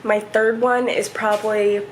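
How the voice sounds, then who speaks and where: A woman in her twenties, chatting casually and rambling through a home vlog, her voice hoarse and stuffy. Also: medium pitch, little pitch movement, unhurried, a little hiss or hum, low-quality recording. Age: 20s. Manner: rambling, chatty, many fillers. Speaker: vlogger. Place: home, indoors. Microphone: camera set up nearby.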